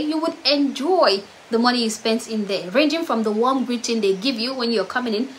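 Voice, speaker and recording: A young woman giving an emphatic, preachy opinion commentary to camera from her bedroom, often tagging her points with "okay?", on a lapel mic. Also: fairly high pitch. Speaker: vlogger. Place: bedroom indoors.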